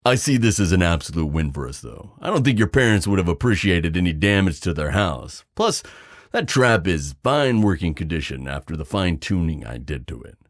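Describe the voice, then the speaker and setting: A man's voice: deep voice, big pitch swings, fairly quick, no room sound, studio quality. A man playing a bookish, self-assured ghost-tech expert with precise phrasing in an audio drama. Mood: dryly confident.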